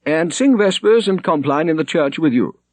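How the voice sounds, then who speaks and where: Man voicing a lofty, high-pitched monastery prior. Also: expressive, moderate pace, no room sound. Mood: formal. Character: formal, dignified male monastery prior. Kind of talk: audiobook character reading.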